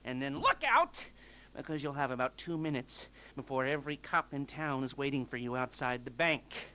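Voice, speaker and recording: A man's voice: mid-range voice, animated, normal speed, bright, forceful tone, a little hiss or hum. A man playing a nervy, sardonic middle-aged heist planner in a video game, rambling through mission briefings with hesitant, dry wisecracks. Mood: nervous, rambling.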